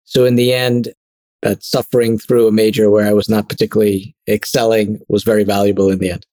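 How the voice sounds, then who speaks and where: Man from Trinidad, interview guest, measured and self-deprecating, dry recording. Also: lowish voice, little pitch movement, dark tone, dry recording. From Trinidad and Tobago. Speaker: guest, product executive. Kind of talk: casual interview chat.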